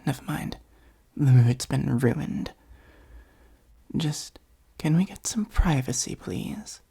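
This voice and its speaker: Supervillain for ASMR roleplay, acted by a performer: soft, dark and creaky, breathy near-whisper, pompous monologuer with sardonic asides. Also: mid-range voice, slow, exaggerated voice. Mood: exasperated, sardonic. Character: theatrical gloating supervillain, secretly fond of the hero.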